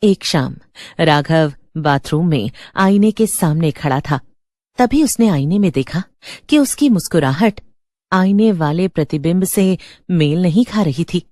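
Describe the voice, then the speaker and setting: A woman's voice: on the low side, lively intonation, measured pace, dark tone, no echo, clean studio sound. A woman narrator reading a scripted horror story in a dramatic storytelling style.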